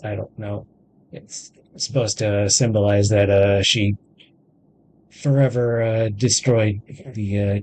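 Low-voiced man commenting on a game. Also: a little mellow, a little hiss or hum, low-quality recording. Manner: halting, rambling. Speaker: gaming video creator.

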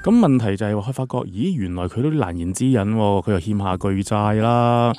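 A man with a Hong Kong Cantonese accent reviewing a film on a radio show, explaining in a measured way in a studio. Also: lowish voice, lively intonation, mellow. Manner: measured, explanatory, with acted quotes of characters. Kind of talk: casual radio film chat.

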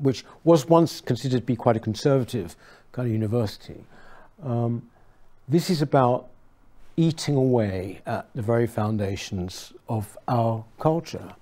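A middle-aged man on a studio panel, speaking earnestly and emphatically with halting restarts. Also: mid-range voice, dark tone. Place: small studio set with armchairs. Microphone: lapel mic.

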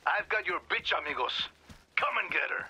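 An actor playing a mocking, sadistic cartel boss in a video game, leering and menacing over a radio. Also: male voice, high voice, lively intonation, normal speed, pushed and bright, a little hiss or hum, full character voice. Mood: mocking threat. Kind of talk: video game villain acting.

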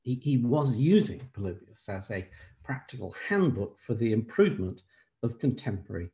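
A man's voice: medium pitch, very animated, warm tone. Older man lecturing over a webcam. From Ireland. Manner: measured scholarly delivery with frequent "uh" pauses. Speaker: keynote speaker, emeritus professor. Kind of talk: academic lecture over video call. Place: home room, indoors. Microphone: laptop webcam on a video call.

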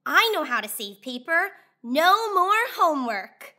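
A woman's voice: very high voice, very animated, a little slow, bright, forceful tone, pitched above the performer's own voice, heavily acted. A woman voicing an eager, earnest young animal pupil in a children's storybook. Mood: excited. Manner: eager, exclamatory.

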